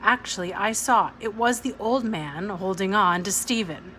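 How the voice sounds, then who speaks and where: A woman's voice: medium pitch, moderate pace, a bit bright. A woman narrating a storybook aloud in the first person. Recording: noisy home recording.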